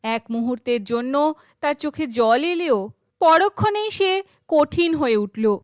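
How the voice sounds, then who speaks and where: High-pitched woman narrating a fairy tale. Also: expressive, takes it slowly, no room sound, clean studio sound.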